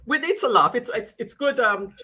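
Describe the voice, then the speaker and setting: Female interview host, lively swooping intonation, bright forward voice, casual and agreeable. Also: fairly high pitch, normal speed, slightly rough.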